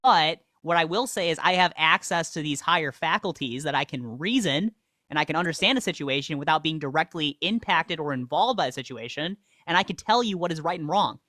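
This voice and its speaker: A man's voice: fairly high pitch, animated, fairly quick, sharp tone, no echo, studio quality. A man hosting a livestream, debating a caller with pressing, pointed questions. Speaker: livestream host.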